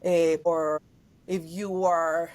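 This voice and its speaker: A woman's voice: on the low side, drawn-out words. A woman from Mexico with a Mexican accent, a guest in a casual interview, talking expressively, dropping in lots of "like" and slipping into Spanish. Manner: expressive, heavy use of "like", switches into Spanish. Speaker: guest, actress and singer.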